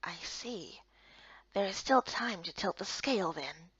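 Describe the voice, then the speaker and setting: Woman putting on a low, murmuring flirtatious guard leader. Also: animated, measured pace, a bit bright, husky, highs cut off, heavily acted. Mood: sly, seductive.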